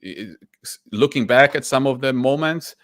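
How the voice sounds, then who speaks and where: A man's voice: medium pitch, lively intonation, normal speed, a bit bright, no room sound. A man, a guest candidly telling his story in an interview and often restarting his sentences. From Poland. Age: 40s. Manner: candid and reflective, with frequent restarts. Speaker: guest, stock investor.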